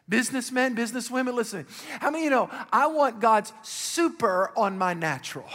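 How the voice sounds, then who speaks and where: Raspy-voiced male pastor preaching in a hall. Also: extremely high pitch, lively intonation, fairly quick, a bit bright. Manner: preaching with rising emphatic calls and questions to the congregation. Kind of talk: sermon.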